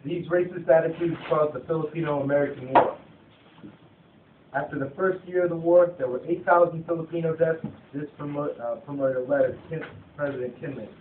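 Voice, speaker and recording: A young man reads a scripted narration with occasional stumbles over words. His voice is a little high, and it comes through far from the microphone with clear background noise. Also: steady tone, a little fast. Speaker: narrator of a student puppet show. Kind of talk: scripted narration read aloud. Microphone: phone from across the room. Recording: tinny phone recording, distant, echoey, noisy.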